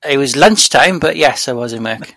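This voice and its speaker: A Welsh man co-hosting a podcast in casual chat, self-deprecating and quick to laugh. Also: medium pitch, gravelly, fairly bright tone. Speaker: podcast co-host.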